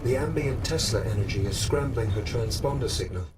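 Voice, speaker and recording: A man voicing a polite male AI assistant, speaking in an even, precise, formal way in a video game cutscene. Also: mid-range voice, fairly quick, distant mic, noisy background, close to an everyday voice. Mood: calm. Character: calm polite male AI butler.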